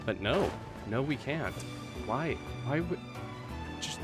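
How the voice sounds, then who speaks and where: Casual male streamer over loud game music. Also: mid-range voice, expressive, takes it slowly. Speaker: game livestreamer. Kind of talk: casual live gameplay commentary. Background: loud video game music.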